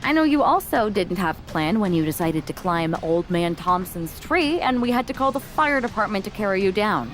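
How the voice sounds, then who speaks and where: An actress playing a candid, teasing confidante with a dry, skeptical delivery in a video game cutscene. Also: female voice, mid-range voice, lively intonation, normal speed, few pauses, soft background music, natural voice. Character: candid, teasing young woman, wrestler's longtime confidante.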